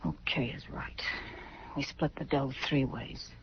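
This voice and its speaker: Ruthless, sneering 1940s gun moll. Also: female voice, very deep voice, steady tone, unhurried, creaky, whispered, heavily acted. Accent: American. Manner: tough, sneering, commanding. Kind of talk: 1940s radio acting. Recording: muffled, noisy 1940s radio recording.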